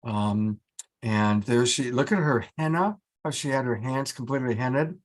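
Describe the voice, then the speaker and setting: A man's voice: medium pitch, normal speed. Enthusiastic male online show host. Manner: enthusiastic, joking. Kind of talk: casual live online show chat. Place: video call.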